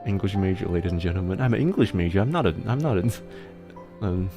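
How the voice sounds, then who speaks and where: A man's voice: sits low, animated, quick pace, lo-fi sound, music in the background. A young adult man hosting a casual stream where he gives advice, rambling and giggly, with frequent laughs and restarts. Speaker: host giving advice on submitted struggles. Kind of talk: casual livestream advice chat.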